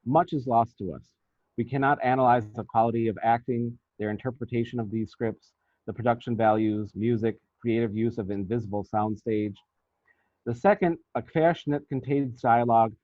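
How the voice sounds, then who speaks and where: A man reading out a scripted academic paper as a researcher, in a formal, measured and deliberate manner. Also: medium pitch, fairly even intonation, no echo. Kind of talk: scripted academic presentation, read aloud. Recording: thin, phone-like band-limited sound.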